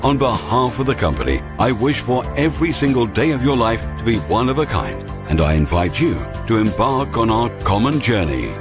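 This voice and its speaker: A man's voice: medium pitch, very animated, brisk, hardly any pauses. Formal man narrating over music. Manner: formal, promotional. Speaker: corporate presentation narrator. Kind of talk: scripted corporate narration. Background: background music.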